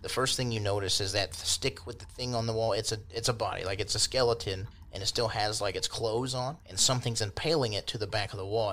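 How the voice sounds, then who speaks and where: A man with a fairly low voice runs a tabletop game as game master. He describes things loosely and colloquially, in a rambling, casual style. There is slight background noise on the recording. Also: fairly bright tone.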